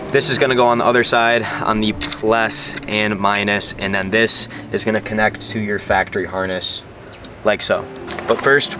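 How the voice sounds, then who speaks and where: A man's voice: fairly low pitch, noisy background. A man hosting a DIY car tutorial, giving plain, informal step-by-step instructions in a casual how-to style.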